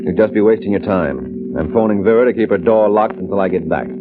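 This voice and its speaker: An actor playing a tough, wisecracking private detective in a 1950s radio drama, with a clipped, wry delivery. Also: male voice, lowish voice, fast talker, music in the background, close to an everyday voice. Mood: wry, calm. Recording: noisy, narrowband old radio recording.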